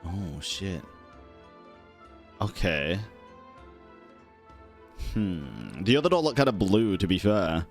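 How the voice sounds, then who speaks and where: Male game streamer, unhurried but lively intonation, wry slangy swearing, faint music behind. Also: low voice. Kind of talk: casual live gameplay commentary.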